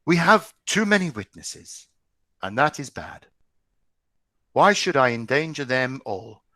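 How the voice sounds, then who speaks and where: A man reading aloud, voicing a calm, resigned old rabbi who speaks slowly and evenly. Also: mid-range voice, no room sound, studio quality, voice pushed up. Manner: calm, measured.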